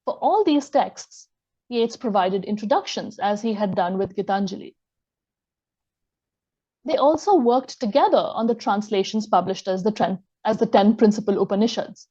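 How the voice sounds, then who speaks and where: A woman giving a scholarly lecture, reading from her text in a measured way and quoting sources aloud. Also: medium pitch, animated, warm tone, breathy edge, dull audio. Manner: measured, reads quotations aloud. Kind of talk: reading an academic talk.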